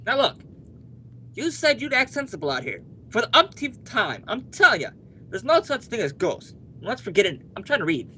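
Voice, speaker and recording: A man's voice: sits high, sing-song, moderate pace, pushed and bright, a little hiss or hum, exaggerated voice, low-quality recording. A male actor playing a brash, stubborn young husband in an old-time radio comedy, emphatic and blustering. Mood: stubborn, irritated.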